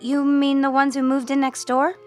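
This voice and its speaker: A curious teenage schoolgirl with a streak of defiance, acted by a woman for radio drama. Her voice is on the high side, and soft music sits behind it. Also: monotone, moderate pace, lightly acted. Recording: music underneath the voice.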